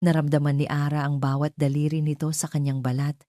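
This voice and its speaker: Female audio-drama narrator: very low pitch, slightly dark tone, scripted romance delivery. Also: flat delivery, fast talker, no echo, studio quality. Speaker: story narrator.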